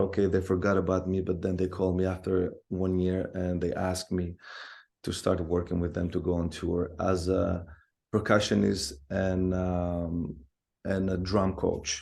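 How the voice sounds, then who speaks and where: A man with an Albanian accent, a guest telling his life story in a casual interview, often pausing to search for words. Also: low-pitched, vocal fry, a little mellow. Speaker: guest musician.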